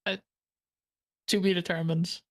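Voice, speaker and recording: Young man, stream co-host, deadpan, low-key, flat monotone delivery. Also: unhurried. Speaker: co-host guest. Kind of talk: casual stream chat.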